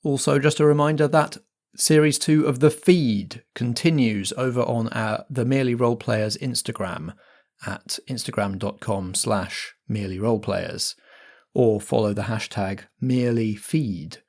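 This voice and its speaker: A man talks as a podcast host, giving announcements in a conversational style. His voice has a medium pitch for a man. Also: normal speed, darkish tone.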